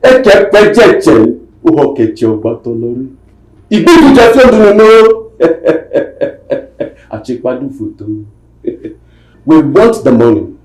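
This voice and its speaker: A man's voice: on the high side, very animated, takes it slowly, a little mellow, roomy sound, soft background music, low-quality recording. An actor playing a menacing male armed robber leader, barking threats and orders.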